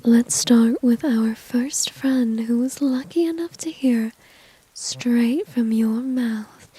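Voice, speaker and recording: Breathy whispering villainess, played by a woman, slow and flirty. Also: on the high side, warm tone, dry recording, heavily acted, poor audio. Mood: smug, teasing. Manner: slow, teasing, smug, coaxing. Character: confident, flirty, dominant female villain teasing an enemy. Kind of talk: ASMR roleplay.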